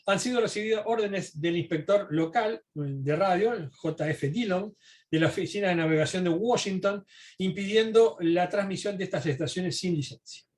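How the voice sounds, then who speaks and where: A man lecturing informally, reading documents aloud and pausing to explain them with asides and tag questions. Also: sits high, a little mellow.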